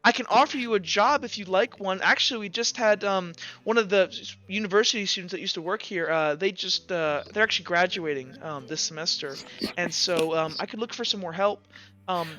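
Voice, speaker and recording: A man voicing a kind, hesitant woman shopkeeper in a halting, apologetic way for a tabletop game. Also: high voice, pushed and bright, muffled recording. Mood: guilty, hesitant.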